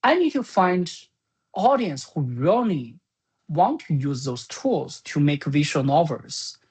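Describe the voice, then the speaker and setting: A slow-talking speaker with a husky, slightly rough voice at a medium pitch. The delivery is informal and halting, like someone thinking aloud while going through meeting notes on a project. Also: very animated, darkish tone. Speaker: software creator presenting business plan.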